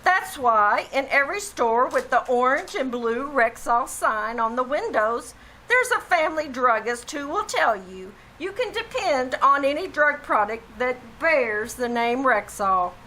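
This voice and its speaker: A woman's voice: high voice, animated, measured pace, bright, forceful tone, a little hiss or hum, exaggerated voice, poor audio. A woman playing an earnest, folksy family druggist and announcer in scripted 1940s radio style, with emphatic, careful salesmanship. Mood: earnest, assured. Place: theater stage in a live performance.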